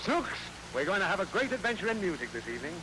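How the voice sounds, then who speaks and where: A pompous, long-suffering dad. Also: male voice, high voice, sing-song, quick pace. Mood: exasperated. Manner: pompous, exasperated. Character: long-suffering blustery father. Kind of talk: 1940s radio comedy acting. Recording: crackly old radio recording.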